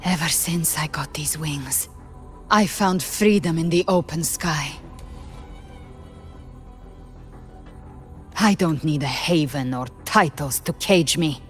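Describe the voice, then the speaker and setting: A woman's voice: low-pitched, a little slow, soft background music. An actress playing a proud, battle-hardened warrior woman in a video game, cool, blunt and scornful in her defiance.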